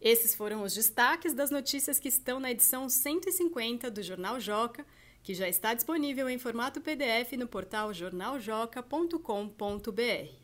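A woman podcast host and journalist reading a news roundup and interviewing a guest in a steady, clear presenter delivery. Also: on the high side, normal speed.

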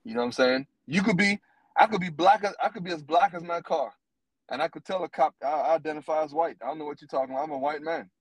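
A man in his thirties talks in a casual podcast chat, blunt and animated, with plenty of cursing. His voice sits on the higher side for a man. The sound is dry and close to the mic. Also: fast talker, husky, no room sound, rough recording. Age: 30s. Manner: animated, blunt, profane storytelling. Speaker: podcast co-host.

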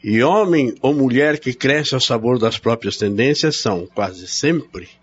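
An actor playing a stern, unyielding Brazilian brother-in-law in a radio drama, speaking in an emphatic, commanding way. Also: male voice, medium pitch, expressive, measured pace, dull audio, background noise, natural voice. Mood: scornful, insistent.

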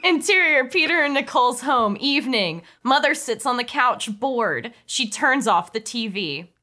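A woman's voice: a little high, animated, a little slow, sharp tone. A woman reading stage directions in an amused way as the narrator of a comedic script table read.

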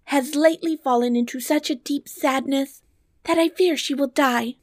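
Woman as a high-voiced, emotional young princess. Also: normal speed, no echo, lightly acted. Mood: sad, earnest. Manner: plaintive, exclamatory. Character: young proud princess, anxious, emotional, indignant. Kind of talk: fairy tale storybook reading.

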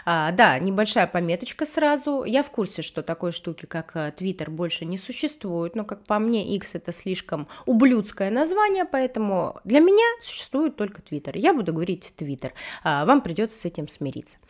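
A woman's voice: mid-range voice, sing-song, brisk. A woman hosting a music news podcast in a casual, slangy way, her voice nasal from a cold, swearing freely.

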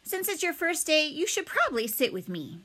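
A woman's voice: sits high, sing-song, moderate pace, sharp tone, pitched above the performer's own voice, full character voice. A woman voicing an eager, helpful, slightly nerdy schoolboy in a children's storybook, earnest and explanatory.

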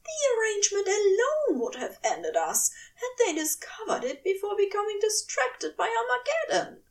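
A woman's voice: extremely high pitch, wildly swooping pitch, normal speed, recorded from a distance, pitched above the performer's own voice, full character voice. A woman voicing a prim, indignant and hurt male angel in a dramatic audio story. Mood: furious, indignant. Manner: prissy, indignant, old-fashioned turns of phrase.

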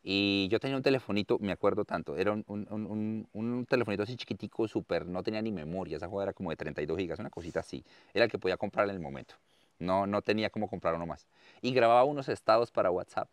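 Deep-voiced Colombian man telling his story. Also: rapid-fire, no echo. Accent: Colombian, Santander. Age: 30s. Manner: earnest and expressive, often repeats his words for emphasis. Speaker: interviewee, farmer and content creator. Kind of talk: conversational storytelling interview.

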